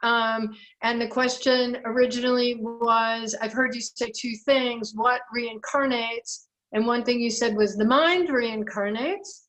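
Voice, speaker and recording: Woman caller from Detroit, fairly high voice, halting and unsure. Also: steady tone, fairly bright tone, rough recording. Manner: hesitant, halting, many fillers. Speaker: caller asking a question. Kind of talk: casual question in an online meeting. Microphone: video call.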